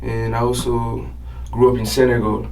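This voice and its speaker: A man, chatting casually as a podcast guest in a relaxed, chuckling way. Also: medium pitch, normal speed, gravelly, recorded from a distance, over a noisy crowd. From Burkina Faso. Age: 20s. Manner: laid-back, chuckling, loose filler-heavy talk. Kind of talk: casual interview chat. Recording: voice a bit off-mic with faint room noise.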